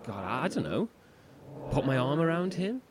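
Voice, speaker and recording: An actor playing a troubled, gentle man in a horror audio drama, hesitant and emotional in his delivery. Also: a little high, big pitch swings, moderate pace, background noise. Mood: lost, unsure. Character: troubled, gentle man trapped in darkness, longing for family.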